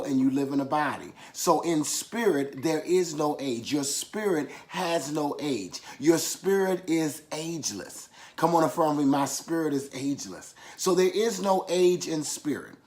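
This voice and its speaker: A man preaching a sermon, repeating key phrases for emphasis and calling on listeners to affirm after him. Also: fairly high pitch. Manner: emphatic repetition with call-and-response affirmations.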